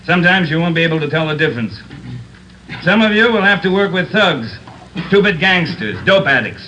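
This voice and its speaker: A man's voice: fairly high pitch, expressive, brisk, pushed and bright, slight background noise, rough recording. An actor playing a veteran police captain in his fifties, lecturing recruits in a blunt, dry way in a 1950s radio crime drama. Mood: earnest.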